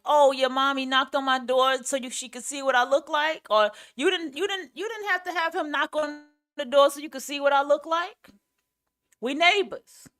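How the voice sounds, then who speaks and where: A woman with a New York accent and a raspy, carrying voice, giving a casual, opinionated monologue in a blunt, emphatic way as a podcast host. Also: high-pitched, fairly bright tone.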